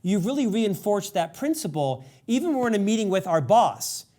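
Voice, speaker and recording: A male podcast host with a slightly higher-than-average voice talks earnestly with his guest. He has a lifelong stutter and chooses his words with care. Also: sing-song, a little fast, warm tone. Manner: earnest, engaged interviewer. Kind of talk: interview conversation.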